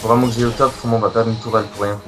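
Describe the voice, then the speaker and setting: A man chatting casually with a teammate during a match, joking and quick to laugh. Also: on the low side, level tone. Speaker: teammate and co-player. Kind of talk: casual gaming chat. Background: game music.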